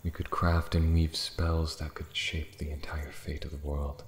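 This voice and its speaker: A man playing a proud, bitter, ancient dragon in a fantasy ASMR roleplay, brooding and scornful, theatrical, dipping into hushed breathy tones. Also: very deep voice, level tone, measured pace. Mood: grudging, contemptuous. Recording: roomy echo, faint background noise.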